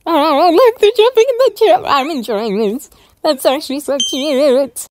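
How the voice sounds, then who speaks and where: A woman hosting casual reaction commentary in a bubbly, gushing, excited manner. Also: high-pitched, measured pace, noisy background. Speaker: YouTube host reviewing channels.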